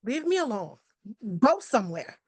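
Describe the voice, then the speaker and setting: A woman acts out a fed-up, sarcastic fiancée in a dry, close sound. Her voice is fairly high and swoops with irritation, biting and sharp-tongued, in a dark-comedy screenplay reading. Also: big pitch swings, moderate pace, breathy edge, dry recording, heavily acted. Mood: angry. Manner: snappish, biting, foul-mouthed. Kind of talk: live screenplay table read.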